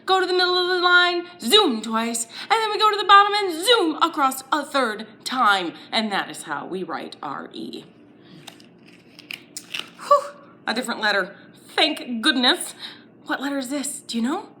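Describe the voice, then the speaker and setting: A woman's voice: high-pitched, big pitch swings, moderate pace, pushed and bright, slight background noise. A woman leading a children's story time lesson, playful and exaggerated, encouraging little listeners with questions and sing-along phrases.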